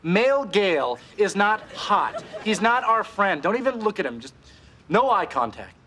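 A loyal, slightly jealous young American husband in a sitcom. He talks in a jokey, insistent way, his pitch moving up and down freely. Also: big pitch swings, moderate pace, sharp tone, faint music behind, exaggerated voice. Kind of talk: sitcom acting.

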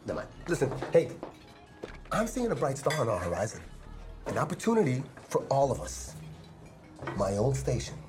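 An earnest, middle-aged American dad in a screen drama. His medium-pitched voice moves with lively swings as he pushes for a second chance. Music plays clearly behind him. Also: normal speed, long pauses. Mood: hopeful pitching. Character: earnest widowed father chasing a second chance. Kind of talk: naturalistic film drama acting.

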